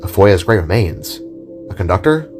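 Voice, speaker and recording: A man's voice: sits low, big pitch swings, moderate pace, darkish tone, soft background music. Man voicing an eager, awkward, chatty young-hearted spark. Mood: curious. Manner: hesitant, rambling, nervous. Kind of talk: self-narrated audio drama.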